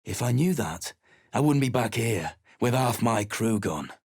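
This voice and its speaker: Gruff sellsword, husky, low-voiced, dry studio sound. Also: male voice, expressive, measured pace, a little mellow, dry recording. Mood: surly. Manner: surly, contemptuous. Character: gruff rough-spoken male mercenary leader. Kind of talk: video game voice acting.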